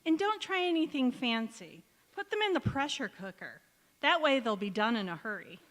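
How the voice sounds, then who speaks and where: A woman's voice: a little high, very animated, moderate pace, a bit bright. A woman playing a supportive, wry housewife friend in old-time radio sitcom style, coaxing and bossy. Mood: encouraging. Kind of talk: golden-age radio sitcom acting on stage. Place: large indoor hall, live stage performance. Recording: echoey hall, community theatre stage.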